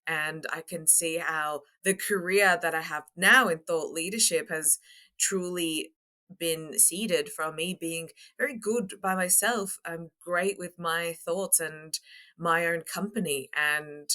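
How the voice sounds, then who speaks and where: A young Australian woman answering interview questions as a guest, articulate and emphatic, with measured phrasing. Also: fairly low pitch, pushed and bright. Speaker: podcast guest, construction coach. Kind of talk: interview talk.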